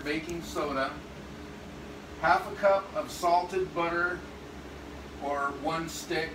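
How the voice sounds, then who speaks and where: Chatty man explaining a recipe. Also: a little high. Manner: rambling, explains recipe step by step. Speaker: home cook. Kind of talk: casual cooking demo. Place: small home kitchen. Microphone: phone camera across the room. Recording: echoey kitchen, distant phone mic, hum.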